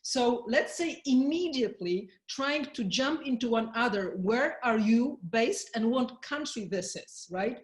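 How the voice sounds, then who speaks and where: A woman's voice: on the high side, animated, unhurried, few pauses, roomy sound. Woman hosting an online webinar. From Poland. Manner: friendly, guiding, laughs often. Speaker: webinar host and speaker. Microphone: video call.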